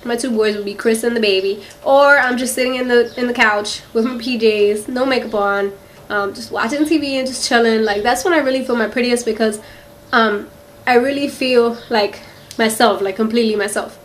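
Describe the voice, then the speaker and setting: A woman's voice: on the high side, steady tone, moderate pace, slight background noise. Chatty young woman with a Philly accent. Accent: Philadelphia. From Philadelphia, USA. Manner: chatty, rambling, lots of "um" and "like". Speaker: beauty vlogger. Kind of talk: casual Q&A vlog to camera. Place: quiet room in a house.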